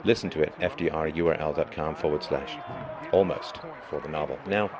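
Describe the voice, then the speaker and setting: A middle-aged man with a hoarse voice, hosting a live stream and talking through his views in an emphatic, lecturing monologue. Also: very deep voice, a little fast, crowd noise. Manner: emphatic, lecturing, with rising bursts of stress. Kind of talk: monologue commentary.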